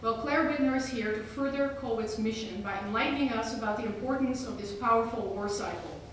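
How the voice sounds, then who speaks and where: A woman's voice: a little high, fairly quick, fairly bright tone. A woman from the Netherlands, introducing a guest speaker at a museum lecture and reading her prepared remarks. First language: Dutch. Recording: very echoey hall, voice from far off, audible noise.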